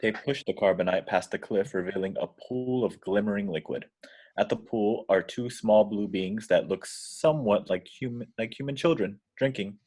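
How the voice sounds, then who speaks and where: A man's voice: on the low side, normal speed, a little mellow. A man reading screenplay stage directions as scripted narration in an amateur table read.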